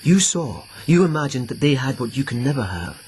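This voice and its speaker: A man's voice: mid-range voice, very animated, brisk, a little mellow, slight background noise, close to an everyday voice. A man playing an earnest, pressing lieutenant. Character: Lieutenant Yukinari, earnest, protective policeman lover. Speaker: lead character. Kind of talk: BBC radio drama acting.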